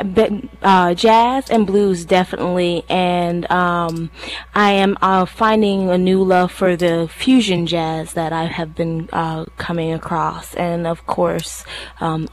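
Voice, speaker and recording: A woman's voice: lowish voice, slow pace, poor audio. A woman from Thailand, a singer, chatting casually as an interview guest.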